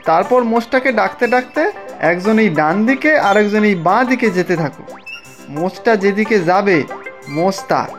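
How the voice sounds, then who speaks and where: A woman's voice: medium pitch, animated, moderate pace, faint music behind, close to an everyday voice. A woman voicing a humble, folksy village tea-seller uncle in a cartoon, given to exclamations. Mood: anxious, resolving. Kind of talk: animated cartoon dialogue.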